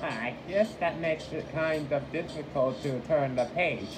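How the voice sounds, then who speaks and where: A woman reading a game character's lines aloud in a casual, halting way. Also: low-pitched, background noise. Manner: slow, halting delivery. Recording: home recording with music behind.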